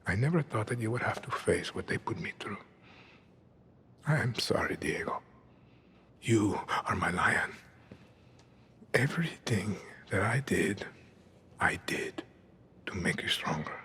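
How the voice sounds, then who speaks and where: An actor playing a ruthless aging island dictator, with a low, cold, commanding voice that often drops to a hush. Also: male voice, animated, whispered, heavily acted. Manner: cold, measured, often hushed.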